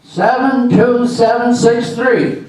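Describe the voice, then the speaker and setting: A man playing a grumpy, forgetful husband in a comic readers theater, halting and exasperated as he grumbles. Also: extremely high pitch, level tone, crowd noise. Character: grumpy, befuddled, forgetful husband fighting phone menus. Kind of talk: readers theater comedy acting. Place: live readers theater stage. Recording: echoey hall, distant mic, noisy.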